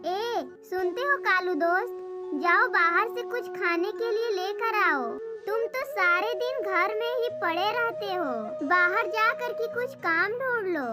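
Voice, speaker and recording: A tiny female cartoon bird acted by a woman talks in an extremely high, childlike voice. She is chatty and earnest. Loud music runs behind her. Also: animated, takes it slowly, full character voice. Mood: scolding. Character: small female bird, chatty, plain-spoken. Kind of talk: Hindi cartoon moral story acting.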